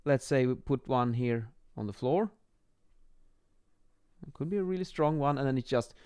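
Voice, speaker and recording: A man in his thirties explaining as an instructor in an informal lesson, a little halting as they think aloud, recorded on a close microphone in a small room. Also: medium pitch, lively intonation, a little mellow, clean tone. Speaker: acoustics instructor, presenter. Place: small home office, indoors. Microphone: microphone on boom arm, close.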